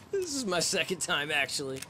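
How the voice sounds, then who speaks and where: High-voiced, whiny, foul-mouthed thug. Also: male voice, heavy background noise. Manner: cocky, profane, complaining. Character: whiny, foul-mouthed, trigger-happy young male thug. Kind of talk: English dub video game cutscene acting.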